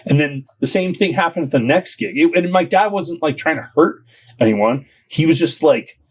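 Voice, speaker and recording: A man's voice: fairly high pitch, expressive, normal speed, breathy edge. Rambling man telling stories. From Southern California. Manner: rambling, lots of filler likes. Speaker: podcast guest. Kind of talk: casual storytelling.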